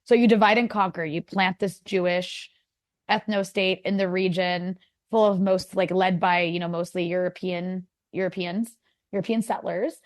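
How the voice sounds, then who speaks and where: A woman's voice: on the low side, fairly even intonation, moderate pace, no room sound, clean studio sound. A woman with an American accent, a guest in a casual interview, talking in a rambling way full of "like" and restarts. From United States. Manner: rambling, many likes and restarts.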